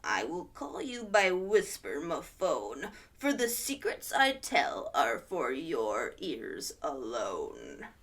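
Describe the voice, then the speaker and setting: A woman reading a storybook aloud and voicing a secretive, grumpy old male hermit in a low, growly whisper. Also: wildly swooping pitch, slow, hardly any pauses, fairly bright tone, husky, distant mic, heavily acted.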